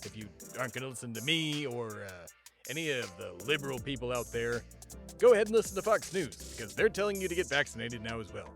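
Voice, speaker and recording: Man playing a pompous, animated patriot podcast host. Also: mid-range voice, quick pace, vocal fry, faint music behind. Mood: self-important, casual. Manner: pompous, grandiose, self-important. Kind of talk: mock-serious comedic monologue and dramatic reading.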